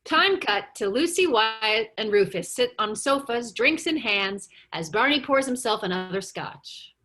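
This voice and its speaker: A woman's voice: fairly high pitch, lively intonation, measured pace, pushed and bright. A woman narrating stage directions at a script table read.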